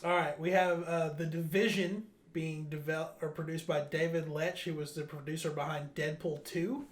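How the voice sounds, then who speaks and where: A man's voice: on the high side, fairly even intonation, normal speed, slight background noise. A man hosting a casual panel chat, running through news items in an informal way. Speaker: show host. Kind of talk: casual news roundup chat among friends.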